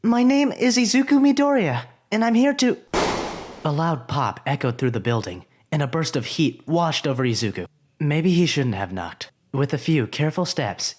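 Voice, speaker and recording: A man narrates a story, letting his pitch rise and fall freely. His delivery is expressive and clearly scripted, with a storyteller's lift on the key phrases.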